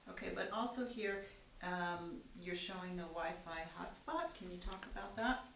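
A woman's voice: on the low side, expressive, moderate pace, vocal fry, fairly bright tone. A woman interviewer asking questions in a conversational style. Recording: roomy, hissy, off-mic sound.

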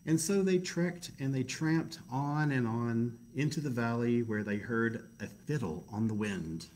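A man's voice: mid-range voice, a little slow, a little mellow. A man reading a picture book aloud to children in a casual, chatty way, with self-deprecating asides. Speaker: library storytime reader.

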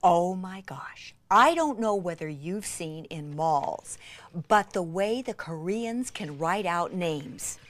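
Woman, craft instructor, gushing and excitable, leisurely pace, dry close sound, repeats words for emphasis. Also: fairly low pitch, slow, no room sound. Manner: excitable, gushing, breathy exclamations and gasps. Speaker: craft instructor demonstrating techniques. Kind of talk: enthusiastic hands-on craft demonstration.

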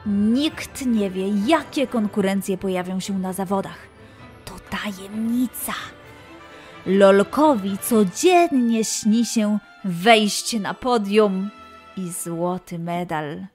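A woman narrating a children's story in an expressive style. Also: medium pitch, takes it slowly, faint music behind.

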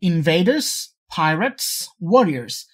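A model reader giving a scripted, formal read-aloud with deliberate, careful phrasing. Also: medium pitch, very animated. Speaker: sample reader for English test practice.

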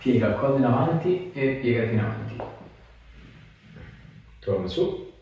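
A man's voice: medium pitch, expressive, moderate pace, mellow, dull audio. A man, a chiropractor giving short, practical questions and instructions during an exam. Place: small tiled clinic treatment room. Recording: echoey room, distant mic, faint noise.

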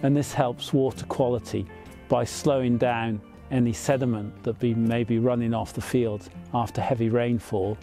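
A man in his fifties, a farmer, explaining his farm practices in a measured, plain way, outdoors in an open field. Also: medium pitch, steady tone, warm tone, soft background music. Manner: measured, plain explanatory talk with small fillers.

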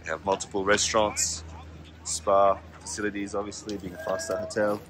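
A man's voice: lowish voice, flat delivery, fairly quick, fairly bright tone, slight background noise. A man, giving a frank, chatty vlog walkthrough of a ski resort, filming himself outdoors on a phone. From half French, half Australian. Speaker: ski travel agency founder, vlogger. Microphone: handheld phone at arm's length, selfie.

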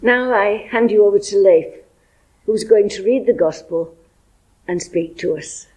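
A woman's voice: medium pitch, measured pace, a little mellow, husky, rough recording. An older woman leading a church service, reading liturgical prayers in a church. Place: church interior.

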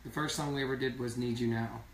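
A hoarse man in his thirties chatting casually on a live stream from a small room, rambling and reading viewer comments aloud. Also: medium pitch, fairly even intonation, fast talker, a crowd in the background. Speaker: singing duo member hosting a live stream. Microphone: phone camera at a distance. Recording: echoey room, from a distance.

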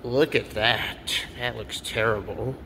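A man's voice: medium pitch, takes it slowly. Casual man filming echoey elevator rides. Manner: offhand, excitable, swears casually. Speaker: elevator fan vlogger. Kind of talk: casual handheld commentary. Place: parking garage elevators and decks, indoors and out. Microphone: handheld camera. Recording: echoey with loud background noise.

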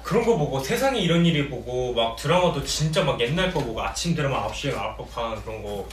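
A man's voice: mid-range voice, fast. A young man, a member of an idol group, chatting and teasing his bandmates during a casual livestream meal. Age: young adult. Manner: playful, teasing, lively storytelling. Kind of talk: casual chat while eating. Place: small indoor office room, seated at a table. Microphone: fixed camera across the table. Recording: roomy, distant mic with faint hiss.

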